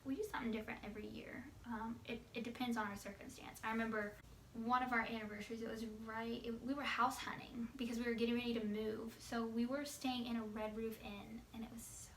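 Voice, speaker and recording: A woman's voice: on the high side, little pitch movement, quick pace. A woman in her twenties chatting informally as a wife answering questions alongside her husband. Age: 20s. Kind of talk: casual couple Q&A chat. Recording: echoey room, distant mic, background noise.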